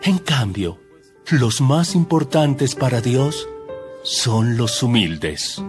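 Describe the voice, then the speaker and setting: A calm solemn male teacher in an audio bible drama, very animated pitch, music behind. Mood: earnest teaching. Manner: measured, emphatic, solemn teaching. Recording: background music under the voice.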